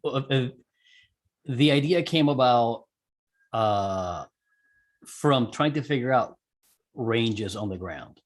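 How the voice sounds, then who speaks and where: A man from Puerto Rico with a mid-pitched voice talks as a guest in a casual interview. He tells things in colloquial language and voices his own thoughts as quotes. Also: expressive, slow, pauses often. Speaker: interview guest, amateur radio operator and skydiver.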